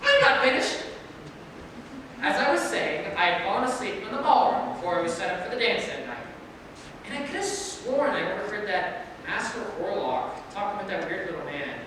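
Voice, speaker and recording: Woman playing a dim excitable guard, far-off hall. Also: mid-range voice, normal speed, lightly acted. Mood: rambling. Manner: rambling, excitable, forgetful of names. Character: dim, lazy, boastful palace guard, gossipy. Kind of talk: staged reading of a fairy tale play. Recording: very echoey hall from far off, noisy.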